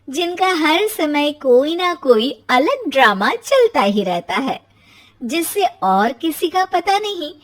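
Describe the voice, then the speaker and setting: A woman narrating a scripted cartoon family story in an animated storytelling style. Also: sits high.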